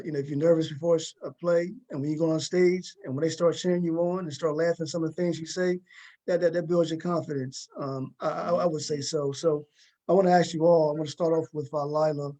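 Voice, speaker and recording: A male talk show host with a fairly high-pitched voice. He puts questions in a conversational way. His delivery is halting, and he often repeats a word before moving on. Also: fairly even intonation, dark tone, poor audio. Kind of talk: conversational interviewing.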